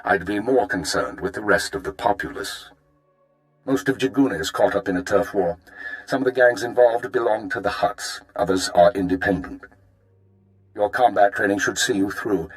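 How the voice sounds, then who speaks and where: Stern, low-voiced spymaster. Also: male voice, a bit bright, poor audio. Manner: formal, measured, clipped commands. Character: stern, authoritative male spymaster briefing an agent. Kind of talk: video game cutscene acting.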